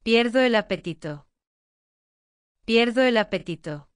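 Slow, crisp woman reading phrases. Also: a little high, expressive, no room sound, studio quality. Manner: clear, careful enunciation, each phrase said twice. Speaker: language lesson reader.

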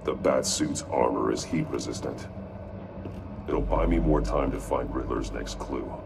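An actor playing a stern masked vigilante detective in a video game, speaking in a terse, deliberate, analytical way with a gravelly growl. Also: male voice, extremely low pitch, recorded from a distance, background noise, exaggerated voice. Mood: grim, analytical.

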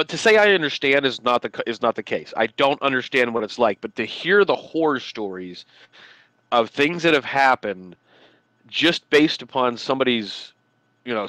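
A man's voice: medium pitch, sharp tone, no echo, rough recording. An American man co-hosting a casual movie podcast, speaking earnestly and reflectively with many "you know" fillers. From United States. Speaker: podcast co-host. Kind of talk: casual movie review chat.

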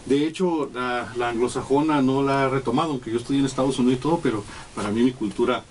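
Un hombre de mediana edad de México, invitado en una entrevista de radio, que habla con muchas pausas y muletillas. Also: male voice, medium pitch. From Mexico. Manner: halting, many fillers and restarts. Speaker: guest artist. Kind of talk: casual radio interview. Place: small radio studio. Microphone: desk studio mic, sitting back. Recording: distant from mic, faint room noise.

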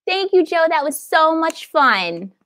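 A woman's voice: very high voice, big pitch swings, unhurried, a bit bright. An American woman in her thirties hosting a casual online event over a video call. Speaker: library event host. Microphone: wired earphones on video call.

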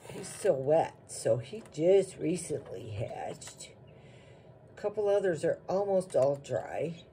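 A woman's voice: low-pitched, big pitch swings, slow pace, mellow. A plain-spoken, folksy woman giving casual home-video narration on a noisy phone recording. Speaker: farm vlogger.

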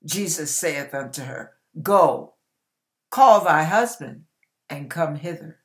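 An older woman reads scripture aloud in a dramatic, preacherly style. Her voice sits a little low and swings widely in pitch. She goes very slowly and deliberately, stretching key words for emphasis. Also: expressive. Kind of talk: dramatic Bible reading. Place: small indoor room with curtain behind.

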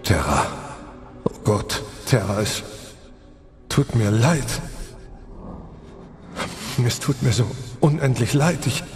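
Casting: emotional space hero, hesitant, stammering, mid-pitched, long reverb, music behind. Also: male voice, expressive, slow, pauses often. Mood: remorseful. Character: shaken, remorseful male space adventurer. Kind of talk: German science fiction audio drama. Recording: reverberant hall, music bed.

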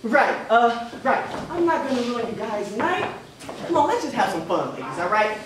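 A man's voice: very high-pitched, sing-song, moderate pace, background noise. A young man acting on stage as an eager, goofy, devoted suitor. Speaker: stage actor. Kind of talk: live stage comedy-drama acting. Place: indoor theater stage. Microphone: far camera. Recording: echoey theater, distant mic, audience sounds.

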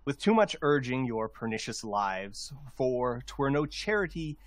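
A man's voice: mid-range voice, sing-song, unhurried, no room sound. Man reading a proud, aggrieved rebel nobleman. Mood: stern accusation. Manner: formal, declamatory verse delivery.

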